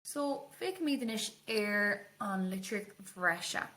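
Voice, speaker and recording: A woman's voice: medium pitch, expressive, takes it slowly, fairly bright tone. A woman with an Irish accent teaching an exam revision tutorial, explaining informally and hesitantly, with Irish-language terms mixed in.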